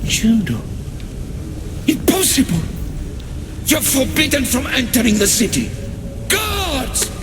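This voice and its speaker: A man's voice: very high-pitched, wildly swooping pitch, takes it slowly, long pauses, full character voice. An actor playing a proud, commanding god-king in a video game, speaking in a formal, authoritative manner. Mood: indignant. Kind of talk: dramatic video game acting. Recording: loud music behind the voice.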